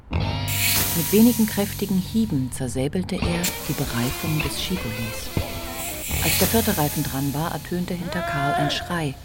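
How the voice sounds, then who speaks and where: A woman reading scripted literary narration for a radio play in a measured, sober manner. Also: low voice. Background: faint music.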